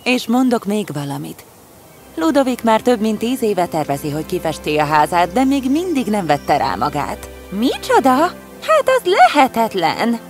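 Earnest storybook heroine, played by an actress, mid-range, very expressive melody, faint music behind. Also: female voice, medium pitch. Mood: earnest, exasperated. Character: women in a fairy tale: eager schemer, resigned friend. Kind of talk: fairy tale character acting.

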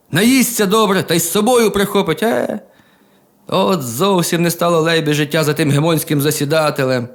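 A man telling a humorous folk tale in a colloquial, expressive, folksy manner. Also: fairly high pitch. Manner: colloquial, expressive, with chuckles and exclamations. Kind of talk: folksy humorous oral storytelling. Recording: music behind the voice.

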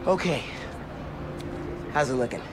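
An actor playing an eager, earnest teenage superhero in a video game, youthful and slangy. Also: male voice, mid-range voice, unhurried, background noise, lightly acted. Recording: game audio under loud music.

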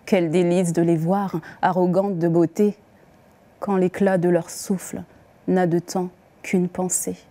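A woman reciting poems as the poet herself, with deliberate, expressive phrasing and pauses. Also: fairly low pitch. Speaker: poet reading her own poems. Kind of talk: poetry recitation.

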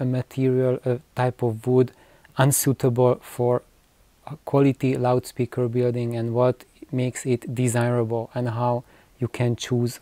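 A man in his forties with a mellow voice talks slowly to the camera. He explains in a halting, unscripted way, repeating words as he goes. The sound is dry, in a small indoor room. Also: medium pitch, no echo. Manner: halting, hesitant phrasing with repetitions. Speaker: video host. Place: small cluttered room with shelves of records, indoors.

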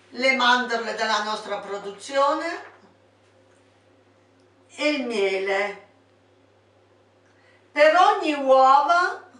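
Older Italian woman, slow instructions. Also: on the high side, fairly bright tone. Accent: Abruzzo Italian. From Italy. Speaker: home cook. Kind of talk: cooking demonstration. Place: home kitchen, indoors. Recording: echoey kitchen, distant mic.

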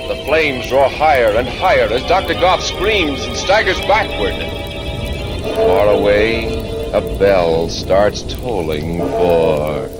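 A man narrating a 1940s radio horror show in a theatrical, ominous, measured announcer's style on a vintage recording. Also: lowish voice, animated. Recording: vintage 1940s radio, loud organ-era music.

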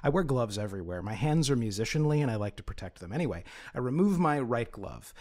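A man's voice: medium pitch, very animated, fast talker, darkish tone, natural voice. A man playing a boastful, fussy aristocratic student in a tabletop game, telling his own story with pompous digressions. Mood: smug, amused. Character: boastful, fussy aristocratic male philosophy student, self-important. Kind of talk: in-character first-person tabletop storytelling.

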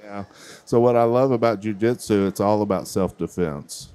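A man's voice: on the low side, normal speed, dark tone. A middle-aged man, hosting a kids' baking contest on a handheld mic in a large fair hall, chatty and encouraging. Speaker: contest host. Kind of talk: emcee interviewing child contestants.

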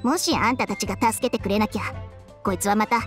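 A woman voicing a proud, bossy, sharp-tongued talking snake in a Japanese video game. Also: mid-range voice, quick pace, soft background music, natural voice. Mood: earnest, persuading. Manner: bossy, blunt, scolding.

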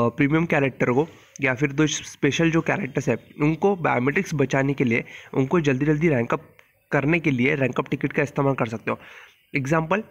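A man with an Indian accent, hoarse from a sore throat, giving a casual, blunt voice-over guide to a mobile game. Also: fairly high pitch, a bit bright, muffled recording. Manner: informal, blunt, mixes English terms into speech. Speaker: mobile game channel host.

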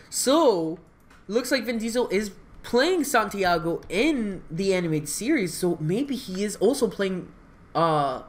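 Exclamatory, slangy man reacting casually. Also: sits high. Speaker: reaction video host. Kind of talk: excited live reaction, casual chat. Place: home room with kitchen, indoors.

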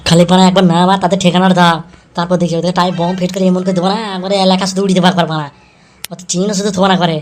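A man's voice: high-pitched, flat delivery, racing, a little hiss or hum, close to an everyday voice. A man playing a boastful, scheming, eager henchman in an amateur comedy cartoon, with a cocky rattling delivery.

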